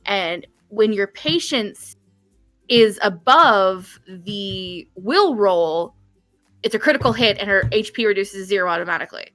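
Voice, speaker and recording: A woman's voice: medium pitch, lively intonation, unhurried, fairly bright tone, no room sound, faint music behind, rough recording. Woman game developer on a livestream: middle register, informal, wandering, explanatory chat. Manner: rambling, frequent "like", casual swearing. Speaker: game developer guesting on a stream.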